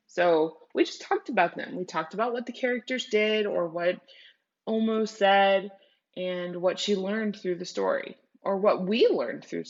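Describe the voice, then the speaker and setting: A woman's voice: fairly low pitch, vocal fry, muffled recording. A woman teacher doing a read-aloud lesson for children.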